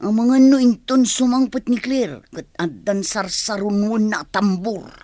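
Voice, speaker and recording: A woman's voice: mid-range voice, a little slow, warm tone, dry recording, clean studio sound, lightly acted. A woman with an Ilocano accent playing a blunt, suspicious older village relative in a radio comedy drama. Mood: scolding, suspicious.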